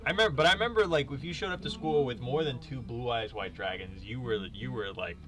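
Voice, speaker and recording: A man's voice: mid-range voice, fast talker, rough recording. A man chatting casually while he plays a game, with slangy, sweary banter. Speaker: gaming show host, player. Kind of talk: casual chat while playing a card game.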